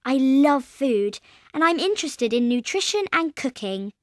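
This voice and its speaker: A woman voicing a creative twelve-year-old girl who loves food, in scripted coursebook audio, speaking slowly and clearly. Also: sits high, very animated, dry recording, studio quality. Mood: friendly. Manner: slow, clear, careful delivery. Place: recording studio.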